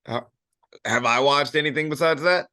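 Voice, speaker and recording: A man's voice: fairly high pitch, moderate pace, pushed and bright, dry recording, clean studio sound. A young American man chatting casually as a podcast co-host, in a hesitant, agreeable way. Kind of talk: casual chat.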